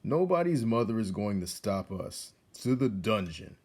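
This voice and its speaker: A man acts out a burly mouse henchman from a storybook. He sounds rough-voiced and callous. He talks in a blunt, commanding manner. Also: on the low side, big pitch swings, moderate pace, darkish tone. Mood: gruff command.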